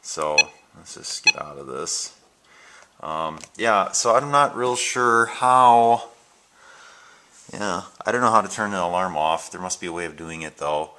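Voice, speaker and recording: Very deep-voiced American man, hesitant and casual. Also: expressive, measured pace. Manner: hesitant, unscripted, thinking aloud. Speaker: amateur video maker showing his gadgets. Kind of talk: casual home show-and-tell. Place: indoors at home and in a workshop. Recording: noisy amateur home video with handling clicks.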